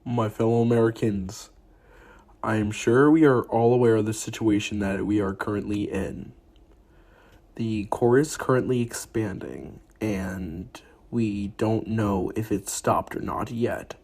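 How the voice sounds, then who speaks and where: A man playing a solemn, halting president giving an address to the nation. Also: medium pitch, a little mellow. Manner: slow, halting, with heavy pauses.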